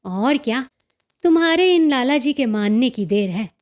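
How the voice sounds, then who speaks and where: A woman's voice: sits high, animated, unhurried, darkish tone. A woman voicing an assured, proud mother-in-law in a story, speaking in a firm, matter-of-fact manner. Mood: confident.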